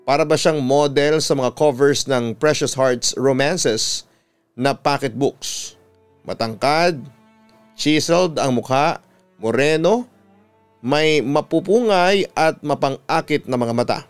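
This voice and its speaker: A Filipino man narrating a listener's letter in the first person like a radio storyteller, in measured, deliberate phrases. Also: on the high side, clear, resonant tone. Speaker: radio announcer and storytelling host. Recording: music playing behind the voice. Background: instrumental music.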